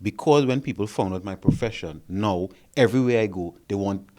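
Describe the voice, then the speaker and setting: A fairly low-voiced man, a therapist, lays out his theory to the panel. He talks in an explanatory way and keeps checking in with the others by asking "right?" His delivery stays measured and conversational. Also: dark tone, slight background noise. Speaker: therapist and behavior consultant.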